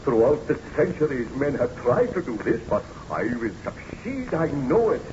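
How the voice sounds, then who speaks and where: An actor playing an obsessed, weary scientist in a theatrical, deliberate old-time radio drama style, on a muffled, noisy recording. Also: male voice, medium pitch, sing-song, close to an everyday voice. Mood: feverish, obsessed. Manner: theatrical, deliberate, brooding narration.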